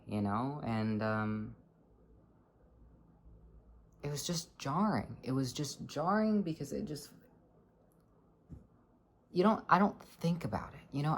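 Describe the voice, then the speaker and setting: A person in their twenties with a low voice, talking earnestly in a halting, reflective personal vlog. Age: 20s. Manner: earnest, halting, searching for words. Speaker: vlogger.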